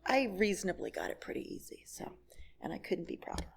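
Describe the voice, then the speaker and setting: A woman's voice: medium pitch, sing-song, fairly quick, vocal fry, in a whisper. A middle-aged woman giving a candid, wry personal talk to a congregation, telling stories and acting out bits of dialogue. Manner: laughs as she talks. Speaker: guest speaker at a church service.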